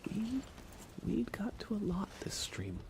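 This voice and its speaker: A man streaming ASMR in a soft, often whispery voice, chatting in an unhurried, low-key way with pauses. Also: creaky. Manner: hushed, unhurried, relaxed delivery with pauses. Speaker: ASMR livestreamer.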